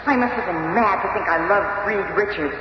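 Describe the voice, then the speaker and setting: A woman playing an indignant, sharp-tongued young superheroine with dramatic scorn in a 1970s radio drama. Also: mid-range voice, normal speed, natural voice. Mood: scornful. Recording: muffled, noisy old radio recording.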